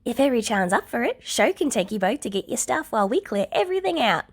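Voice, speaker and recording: A woman voicing a bright, friendly, parental grown man in a story, with an upbeat, kindly manner. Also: on the high side, sing-song, fast, slightly rough, voice pushed up.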